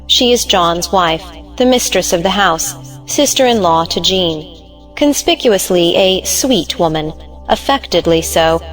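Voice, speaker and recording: Lowish-voiced woman narrating an audio play. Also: poor audio. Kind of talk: scripted narration of stage directions.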